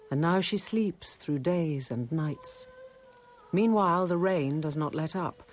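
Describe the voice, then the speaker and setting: An actress playing a sober, observant nun who narrates a radio drama in a measured, contemplative way. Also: female voice, low-pitched, darkish tone, a little hiss or hum, close to an everyday voice.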